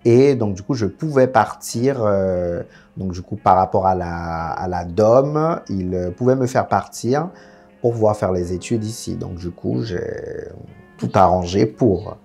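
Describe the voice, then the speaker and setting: A young man from French Guiana talks frankly and easily, his husky voice sitting low and mellow. He tells his story in a loose, casual way, full of fillers. Faint music runs beneath him. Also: unhurried. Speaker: guest. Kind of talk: candid casual interview chat.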